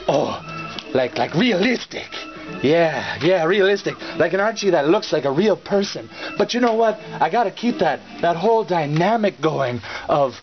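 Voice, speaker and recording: A man delivering a satirical recap in a sarcastic, theatrical and halting manner, often repeating words. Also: a little high, expressive, faint music behind. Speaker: reviewer and puppet-show host. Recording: slightly dull lo-fi audio.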